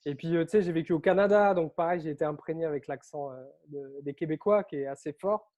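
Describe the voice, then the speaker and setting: A man who grew up on Réunion Island, telling his story as an interview guest in a lively, chatty way with frequent fillers. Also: on the high side, fast, dull audio. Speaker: interview guest, author and coach.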